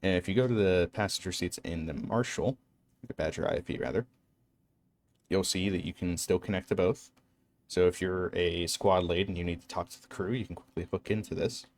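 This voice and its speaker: A man's voice: sits low, brisk, darkish tone. A man narrating an instructional game walkthrough in a matter-of-fact, explanatory way. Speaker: tutorial narrator.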